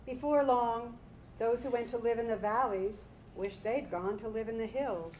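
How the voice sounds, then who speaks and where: A woman's voice: on the high side, moderate pace, a little mellow, poor audio. A woman performing a staged reading and speaking to a live audience as director and performer. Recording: live stage recording with background noise.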